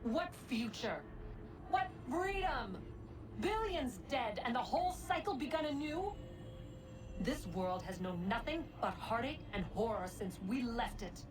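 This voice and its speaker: A woman's voice: fairly high pitch, sing-song, unhurried. A woman playing an imperious, bitter, prophetic godlike being in a video game, speaking in a portentous, theatrical, formal manner. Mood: scornful, bitter. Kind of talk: dramatic video game cutscene acting. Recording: heavy echo, distant, under loud music.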